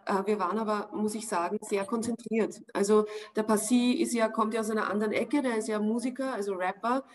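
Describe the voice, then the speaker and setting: An Austrian woman in her forties, talking over a video call from a room at home. She answers casually and with thought, often stopping on fillers as she looks for her words. Her voice sits at a middling pitch. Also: fairly even intonation, brisk. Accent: Austrian German. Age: 40s. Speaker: actress, interview guest. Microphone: video call webcam.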